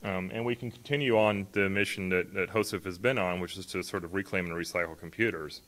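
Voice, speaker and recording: A middle-aged man with a low voice gives a conference talk from a podium on a large stage. His delivery is loose and conversational, full of ums, you-knows and self-corrections. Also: fairly even intonation, fairly quick, a bit bright. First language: not a native Hawaiian speaker. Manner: conversational, frequent fillers and restarts. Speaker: conference speaker. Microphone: podium mic, filmed from far back.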